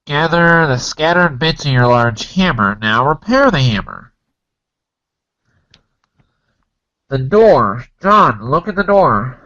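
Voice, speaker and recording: A man's voice: a little high, big pitch swings, unhurried, sharp tone, muffled recording, heavily acted. A man voicing a haughty, exasperated commander who barks stilted, declamatory orders in a dramatic comic reading. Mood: stern.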